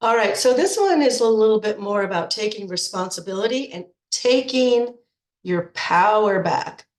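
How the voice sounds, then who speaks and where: A woman's voice: medium pitch, lively intonation, normal speed, echoey room. A middle-aged woman nutrition coach with a head cold, talking informally to her program members and often repeating words as she restarts. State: stuffed up with a cold.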